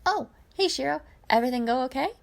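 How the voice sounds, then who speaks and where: A woman's voice: high-pitched, lively intonation, fast, gravelly, voice pushed up. A woman voicing an easygoing young male engineer in a story, chatty, informal and rambling. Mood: casual, curious.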